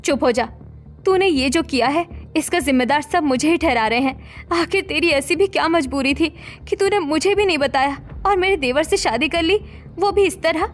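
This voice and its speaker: High-pitched, dramatic young married woman, voiced by an actress. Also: normal speed, natural voice. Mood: angry, reproachful. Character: distressed young married woman, protective, reproachful daughter-in-law. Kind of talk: Hindi animated family melodrama voice acting.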